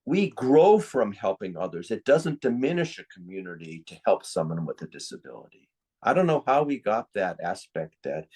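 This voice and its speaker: A man's voice: on the low side, darkish tone. A man with an American accent speaking as an expert guest in an interview, explaining his opinions in an earnest, emphatic and opinionated way. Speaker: guest, psychiatrist and author.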